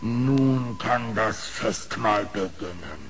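An actor playing a slow, gullible, greedy male bear in a children's radio play, ponderous and eager. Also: on the low side, crowd noise. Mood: greedy, excited.

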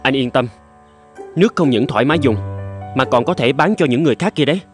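A man's voice: mid-range voice, lively intonation, quick pace, close to an everyday voice. A man playing a determined, resourceful young gold prospector in a cartoon, earnest and reassuring. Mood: confident. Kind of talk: cartoon dub acting. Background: music.

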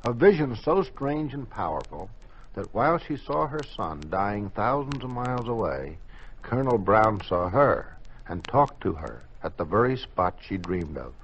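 A man's voice: fairly low pitch, sing-song, unhurried, slight background noise. A man giving dramatic scripted narration in the style of 1950s radio. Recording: dull old radio recording, music behind.

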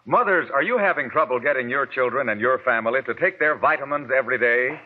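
A man's voice: medium pitch, quick pace. An American man reading a scripted advertisement as a 1940s radio announcer. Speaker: commercial announcer. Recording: tinny narrow-band vintage radio broadcast.

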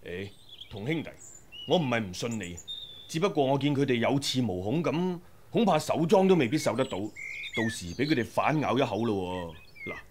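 An actor playing a cunning imperial official who threatens calmly, in a radio drama. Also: male voice, mid-range voice, moderate pace, slight background noise, close to an everyday voice. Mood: menacing. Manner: smooth, threatening. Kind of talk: 1990s radio drama acting.

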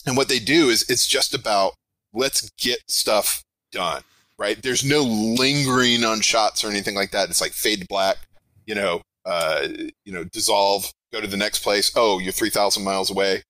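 Loud-voiced man, bright and forceful. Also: fairly low pitch, normal speed, no room sound, low-quality recording. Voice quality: big projecting voice. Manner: rambling, self-interrupting, animated. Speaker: game designer guest. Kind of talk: podcast interview.

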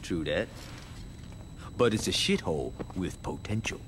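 A man's voice: deep voice, expressive, a little slow, heavy background noise. A man voicing a brash, trigger-happy, wisecracking gangster sidekick in a video game, using sardonic, profane street slang. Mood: joking, grumbling. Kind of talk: video game cutscene acting.

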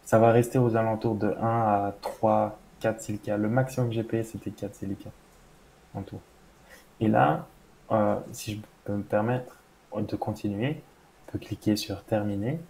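Man, low pitch, gravelly, dark tone, flat delivery, patient explainer, video call, faint noise. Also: normal speed, rough recording. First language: French. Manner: patient, explanatory, with frequent "voilà". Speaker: crypto educator demonstrating a wallet. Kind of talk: screen-share walkthrough in a live interview. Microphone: webcam video call.

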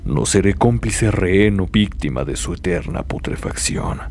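Casting: male actor as contemptuous anime demon overlord, Latin American Spanish, very low, widely swinging delivery. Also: animated, normal speed, vocal fry, darkish tone, a little hiss or hum. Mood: cold contempt. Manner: imperious, disdainful. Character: cold, contemptuous, menacing demon lord. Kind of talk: anime fan dub acting.

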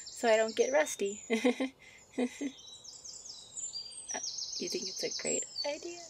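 A woman's voice: on the high side, a little hiss or hum. A woman as a shy, easily flustered fairy. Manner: soft, coy, easily flustered. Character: shy, sweet young female fairy, flustered, teasing lover. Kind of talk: ASMR roleplay. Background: birdsong and outdoor ambience.

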